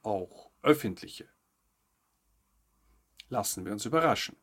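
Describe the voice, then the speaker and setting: A man's voice: lowish voice, animated, a little slow, a bit bright, breathy edge. A man hosting a news update, reading scripted narration with short commentary. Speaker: host of a weekly news update show.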